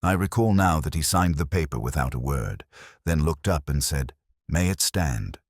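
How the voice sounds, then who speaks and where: An anxious Victorian private secretary, acted out by a man reading an audiobook. He is polite, formal and precise, with a very low voice that moves expressively, in a dry recording. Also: lively intonation, moderate pace, no echo. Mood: uneasy.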